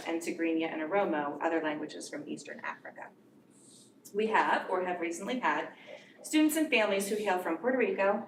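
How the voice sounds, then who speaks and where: Woman teacher in an echoey room. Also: fairly low pitch. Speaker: teacher of English learners. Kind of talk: prepared speech at a board meeting. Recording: echoey room from far off, noisy.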